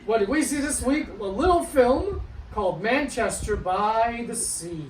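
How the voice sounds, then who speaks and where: A man co-hosting a comedy podcast, delivering crude jokes in a flat, dry way. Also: very high voice, unhurried, echoey room, far from the mic, a little hiss or hum, poor audio. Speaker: podcast co-host.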